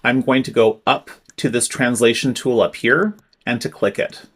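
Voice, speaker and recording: Male tutorial presenter, mid-pitched voice, clear instructive delivery at a desk mic. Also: normal speed, fairly bright tone. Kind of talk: screen-recorded software tutorial.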